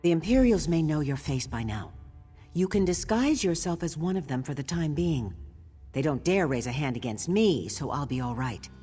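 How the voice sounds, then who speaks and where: A troubled, discreet wife from a fantasy video game. Her delivery is earnest and guarded, with very animated pitch. Faint music plays beneath her words. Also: normal speed, husky, exaggerated voice. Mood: cautious, instructing. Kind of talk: scripted game character dialogue.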